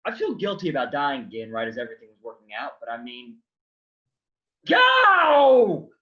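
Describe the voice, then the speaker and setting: A man's voice: on the high side, wildly swooping pitch, moderate pace, bright, forceful tone, heavily acted. A man playing a hapless, long-suffering fellow with wry exasperation in a comic stage reading, on dull audio. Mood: wry resignation. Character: Lazarus, hapless, long-suffering man who keeps dying.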